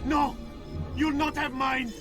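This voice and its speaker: Frantic high-pitched terrified patient. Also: male voice, level tone, slow pace, over loud music. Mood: frantic defiance. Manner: frantic, desperate crying out. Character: terrified, defiant male hospital patient, pleading and raving. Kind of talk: dramatic video game voice acting.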